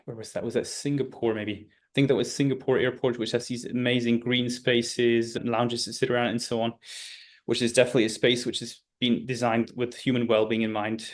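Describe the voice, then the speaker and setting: A man, a guest speaker, answering questions in a webinar and often searching for his words. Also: medium pitch. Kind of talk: webinar Q&A explanation. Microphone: video call.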